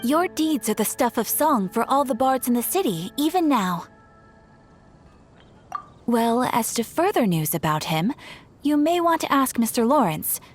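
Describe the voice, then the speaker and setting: A woman's voice: fairly high pitch, big pitch swings, measured pace, soft background music. A woman playing a courteous, helpful young guild receptionist in an English game dub, speaking politely and formally with encouragement. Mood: polite, encouraging.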